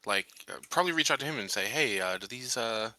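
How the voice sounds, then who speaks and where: A man's voice: medium pitch, animated, quick pace, bright, forceful tone. A man playing a tabletop game, speaking casually and hesitantly as he searches for words.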